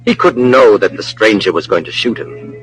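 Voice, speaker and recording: A man's voice: lowish voice, animated, moderate pace, faint music behind, rough recording. A man reading scripted teasers and credits as an old-time radio drama announcer, formal, dramatic and emphatic. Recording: old radio broadcast recording.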